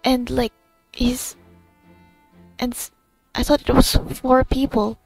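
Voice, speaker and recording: A young woman comments casually as she plays a game, rambling and expressive. Her voice is high and creaky, with faint game music behind it. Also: takes it slowly. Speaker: gaming streamer. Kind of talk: casual game playthrough reaction.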